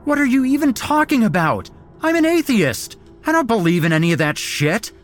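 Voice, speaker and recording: A man voicing a terrified young male captive, shouting in frantic, high-pitched panic. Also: very animated, normal speed, faint music behind. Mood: panicked.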